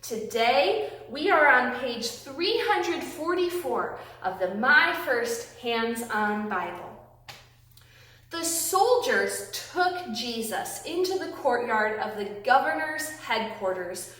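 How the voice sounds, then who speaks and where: A woman reading aloud and teaching young children, with simple, deliberate, emphatic phrasing, recorded in a classroom. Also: sits high, fairly bright tone. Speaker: children's Bible storytime host, reading aloud. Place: indoors, a classroom. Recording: very echoey room, distant microphone.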